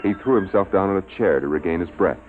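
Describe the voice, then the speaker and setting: An actor narrating a grave tale of science fiction peril in a 1950s radio drama style. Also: male voice, low-pitched, fast, lightly acted. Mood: grave, suspenseful. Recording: tinny 1950s radio recording. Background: music.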